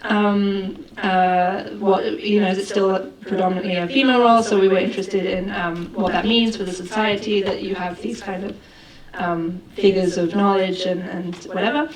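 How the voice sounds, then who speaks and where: A woman's voice: medium pitch, steady tone, normal speed, few pauses. A woman filmmaker answering questions at a festival Q&A, thoughtful and full of fillers and restarts. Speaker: film director. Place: festival hall with audience. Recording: roomy hall, far from the mic, crowd noise.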